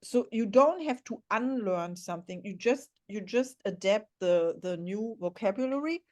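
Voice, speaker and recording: A woman's voice: mid-range voice, lively intonation, moderate pace, darkish tone. A woman whose first language is German, hosting a podcast interview in an enthusiastic, encouraging way. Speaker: podcast host.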